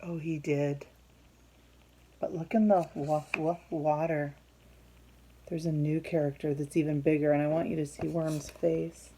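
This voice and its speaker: A woman reading a picture book aloud to young children, expressive and teacherly, pausing to put questions to her listeners. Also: deep voice, slight background noise, low-quality recording.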